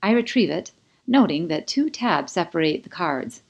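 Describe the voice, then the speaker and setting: A woman with a fairly low voice narrates an audiobook novel in the first person. Her storytelling is expressive and dramatic. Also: normal speed. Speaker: author reading own audiobook.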